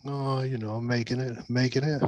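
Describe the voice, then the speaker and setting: A man's voice: medium pitch, level tone, brisk, darkish tone, dry recording, low-quality recording. A man hosting a virtual table read over a video call, talking casually and colloquially with a joking, teasing manner. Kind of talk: casual meeting talk. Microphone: video call microphone.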